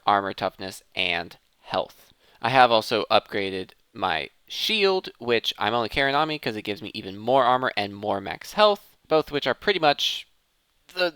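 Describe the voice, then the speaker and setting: A man hosts a gaming video in a casual, think-aloud style, often breaking off to restart a thought. His voice is bright and crisp, with animated swings in pitch. It is recorded close and dry. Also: no echo. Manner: self-interrupting, thinking aloud. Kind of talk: casual gameplay commentary.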